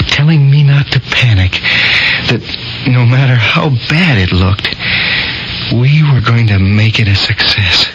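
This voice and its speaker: An American actor playing an earnest, sentimental young surgeon in a radio drama, speaking haltingly. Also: male voice, mid-range voice, background noise, natural voice. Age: young adult. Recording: muffled radio recording with music behind.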